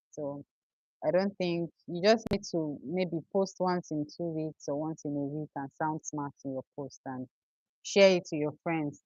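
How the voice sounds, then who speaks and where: A woman's voice: sits low, dry recording, lo-fi sound. A young Nigerian woman with a Nigerian accent, chatting casually as she answers viewers' questions on a livestream. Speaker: livestream host.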